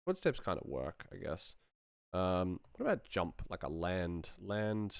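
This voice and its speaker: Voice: low voice, sing-song, measured pace, creaky, clear, resonant tone, no echo, clean studio sound. A sound designer talking casually through work on screen, musing aloud and muttering playfully. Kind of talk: casual screen-share workflow commentary, thinking aloud.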